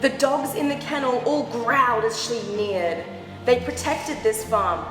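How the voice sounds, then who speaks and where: A woman reading a picture book aloud to young children, expressive, with animated, exaggerated reactions and asides. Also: on the high side. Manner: animated, exaggerated reactions with gasps and drawn-out words. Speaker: children's storyteller. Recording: roomy echoing hall sound, background music. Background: music.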